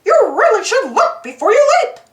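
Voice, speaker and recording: A woman's voice: very high-pitched, huge pitch swings, normal speed, echoey room, pitched above the performer's own voice, full character voice. A woman voicing a cheerful, eager little male cartoon mouse from a children's storybook. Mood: eager, calling out.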